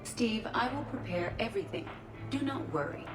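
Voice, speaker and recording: An actress playing a polite, helpful artificial intelligence in an android body for a video game, speaking formally and precisely. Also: female voice, fairly high pitch, expressive, moderate pace, roomy sound, over music, lightly acted. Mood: reassuring. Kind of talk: video game character acting.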